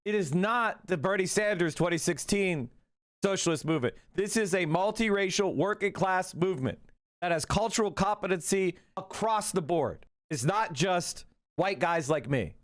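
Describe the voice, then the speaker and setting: Male livestream host, high voice, rambling, profane, fired-up commentary. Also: animated, normal speed. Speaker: political livestreamer.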